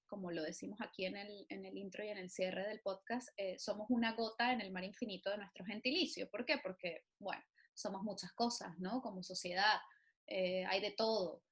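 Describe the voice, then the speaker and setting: A woman's voice: medium pitch, fairly bright tone. A woman from Venezuela hosting an interview podcast, encouraging and musing aloud with fillers. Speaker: podcast host.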